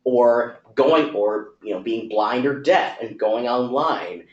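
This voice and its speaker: A man speaks in a medium voice and explains his point in long, rapid, run-on sentences with fillers. The recording sounds dull and lo-fi. It has a noticeable echo from the room. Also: mid-range voice, a little mellow. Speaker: talk speaker. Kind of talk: conversational talk.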